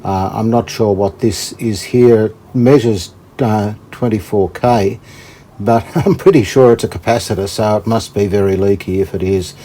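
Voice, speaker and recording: A man giving casual hands-on commentary as a hobby restorer, thinking aloud in a tentative, halting way. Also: fairly low pitch, dark tone. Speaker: host, hobbyist radio restorer. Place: indoors, hobby workshop. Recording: clear background noise.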